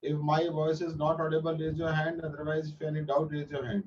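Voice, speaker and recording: A man's voice: a little high, monotone, a little fast, a little mellow. A man with an Indian English accent teaching a maths class online, patient and repeating his instructions, sometimes switching into Hindi. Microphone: video call. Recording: muffled distant online-class audio.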